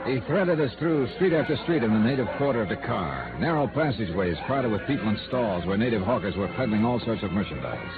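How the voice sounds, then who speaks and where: An actor playing a wry, hard-boiled insurance investigator, narrating in dry, clipped 1950s radio noir style. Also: male voice, on the low side, big pitch swings, a little fast, hardly any pauses. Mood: wry narration. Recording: tinny old radio recording with music.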